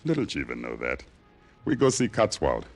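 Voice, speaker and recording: An actor playing a giant, gentle, loyal Haitian manservant who speaks simple broken English in an old radio drama. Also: male voice, extremely low pitch, huge pitch swings, normal speed, over loud music, full character voice. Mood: loyal, calm. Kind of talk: 1950s radio drama acting.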